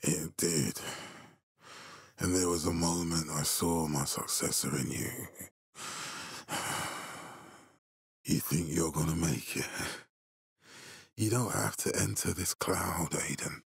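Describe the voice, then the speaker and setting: An actor playing a menacing middle-aged military leader in a video game, authoritative, curt and measured. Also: male voice, low voice, creaky, husky, dry recording, studio quality, heavily acted. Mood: grave, ominous. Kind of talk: dramatic video game voice acting.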